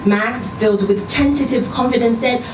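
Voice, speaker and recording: A woman giving an expressive narration of a scripted short story at a live reading. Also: on the high side, normal speed. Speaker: story reader at a live literary event. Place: indoor social venue, live reading event. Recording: roomy live venue, distant mic, noisy background.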